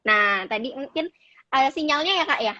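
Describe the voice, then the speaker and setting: A woman's voice: high voice, animated, normal speed, bright, forceful tone, lo-fi sound. A woman hosting a live online talk, chatty and mixing formal greetings with casual phrasing. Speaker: host.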